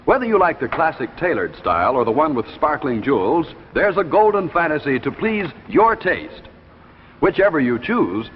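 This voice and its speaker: An American man announcing and pitching products in a polished, emphatic 1950s television advertising style. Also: a little hiss or hum. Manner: polished, emphatic salesman delivery. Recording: muffled 1950s broadcast with hall reverb.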